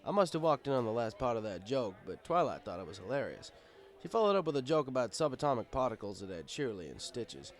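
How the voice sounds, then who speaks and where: A man reading a first-person story aloud in an easygoing, conversational manner, as a bartender telling his tale. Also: mid-range voice. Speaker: audiobook reader. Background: music.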